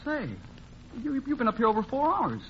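An actor playing a chatty young elevator operator in a 1940s radio drama. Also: male voice, very high voice, big pitch swings, moderate pace, fairly bright tone, full character voice. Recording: muffled hissy old radio recording.

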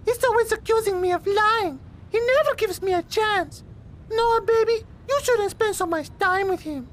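A man's voice: extremely high pitch, normal speed, voice pushed up. A man voicing a whiny, manipulative young woman in a mocking, exaggerated impression for an audio drama. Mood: whiny, wheedling. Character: whiny, wheedling young woman, manipulative girlfriend being mocked.

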